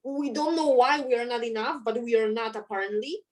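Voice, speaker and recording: Polish lady guest on an interview, kinda high voice, earnest, keeps hunting for words. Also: fairly quick, darkish tone. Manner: earnest, searching for words and restarting phrases. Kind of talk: conversational interview.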